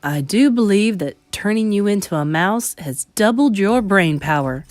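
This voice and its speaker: A woman reading aloud, voicing a firm, calm elderly grandmother who speaks low and measured. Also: no room sound, natural voice. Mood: warm, brisk.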